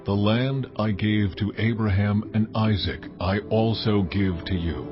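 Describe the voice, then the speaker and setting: A man voices a majestic God in a scripture drama. The voice is low, slow and weighty with solemn authority, over music on a slightly dull recording. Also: close to an everyday voice. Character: almighty God, solemn, majestic male deity. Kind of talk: dramatized audiobook scripture acting. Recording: muffled audio under music.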